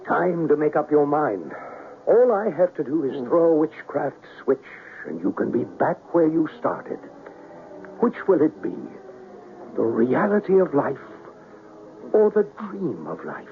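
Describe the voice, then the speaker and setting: Slow, intense alien star traveller. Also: male voice, on the high side, very animated, creaky, a little hiss or hum, full character voice. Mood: taunting, insistent. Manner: theatrical, slow, deliberate delivery. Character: brooding alien star traveller, adult man, intense, pleading. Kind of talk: 1970s radio drama acting. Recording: tinny 1970s radio broadcast, music underneath.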